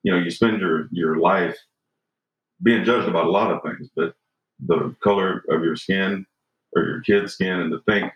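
Earnest man in his 50s, really low voice, echoey room, kinda muffled. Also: steady tone, normal speed. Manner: earnest, reflective, frequent "you know" and "right?". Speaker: guest. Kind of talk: podcast conversation. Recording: roomy, dull lo-fi sound.

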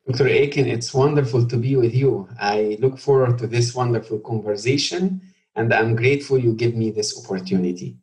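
A middle-aged man from Egypt, a scholar speaking as a guest in a podcast interview, earnest and thoughtful, pausing often with "uh". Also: mid-range voice, a little mellow, rough recording. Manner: earnest, thoughtful, frequent "uh" pauses.

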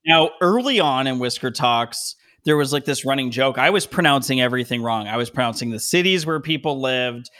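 Male podcast host, upbeat and chatty, bright forceful tone. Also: mid-range voice, fairly quick, hardly any pauses. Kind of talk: casual interview chat.

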